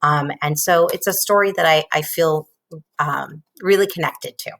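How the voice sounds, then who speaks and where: A woman reading a children's storybook aloud in an expressive narrating style. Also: deep voice, moderate pace, a little hiss or hum. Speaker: storybook reader.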